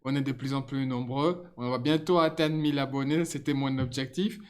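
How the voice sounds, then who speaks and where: A middle-aged man, not a native French speaker, chatting casually to his viewers with frequent laughs and halting restarts. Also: mid-range voice, fairly even intonation, darkish tone. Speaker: channel host.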